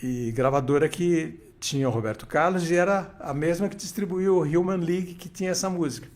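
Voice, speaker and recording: A man's voice: a little high, a little fast, darkish tone. An older man, an interview guest telling radio anecdotes with many restarts and quoted dialogue. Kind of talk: casual storytelling interview.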